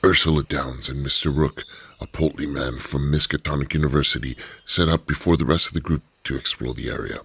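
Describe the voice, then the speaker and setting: A man hosting and narrating a radio drama, telling the story in a steady storyteller's voice. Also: a bass voice, fairly quick, breathy edge, dry recording. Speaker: narrator and host.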